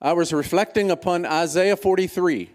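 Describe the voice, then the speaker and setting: An older man with an American accent preaching as a guest in plain, deliberate short phrases, pausing for an interpreter. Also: high voice, darkish tone. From United States, Virginia. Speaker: guest speaker at a church. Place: church meeting.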